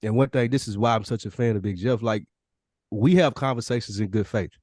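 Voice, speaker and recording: A man's voice: lowish voice, brisk, a little mellow, no echo, clean studio sound. A man co-hosting a podcast in casual chat, talking in an opinionated, emphatic way and swearing freely. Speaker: podcast co-host.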